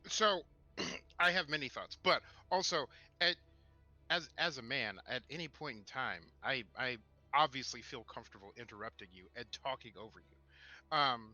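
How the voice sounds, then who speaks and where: A man co-hosting a casual chat in a halting way, often repeating words as he starts a thought. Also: medium pitch, animated, sharp tone, lo-fi sound, soft background music. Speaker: podcast co-host.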